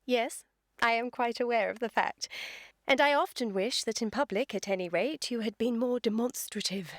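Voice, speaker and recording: High, poised young English society lady woman acting. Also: moderate pace, dry recording, studio quality, natural voice. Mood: assured adoration. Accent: upper-class English. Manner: poised, precise, affected. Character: self-assured, romantic young upper-class English lady. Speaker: actor in a radio play. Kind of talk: theatrical comedy-of-manners radio acting.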